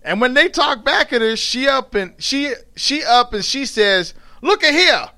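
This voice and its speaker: A man voicing an elderly, proud and sorrowful formerly enslaved mother, telling her story in halting folksy dialect. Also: very high voice, pushed and bright, pitched above the performer's own voice, full character voice. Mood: proud, riled. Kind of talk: dramatic read-aloud of a dialect tale.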